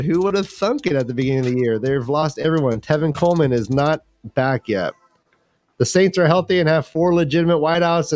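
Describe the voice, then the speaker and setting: A man's voice: mid-range voice, a little mellow. A man hosting a fantasy football show in casual, jokey sports chat. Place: online livestream group call.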